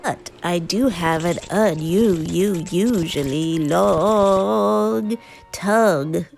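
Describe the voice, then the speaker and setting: A woman's voice: fairly low pitch, drawn-out words, creaky, a little mellow, soft background music, heavily acted. A woman voicing a big, scruffy boy monster in a children's storybook, drawling and whiny as he tries to sound terrifying. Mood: mock menacing.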